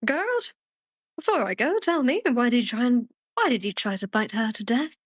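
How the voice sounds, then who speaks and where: A woman voicing a garrulous old man from a storybook, fussy and self-deprecating, rambling on in an animated way. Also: on the high side, normal speed, no room sound, studio quality. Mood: gossipy, gentle.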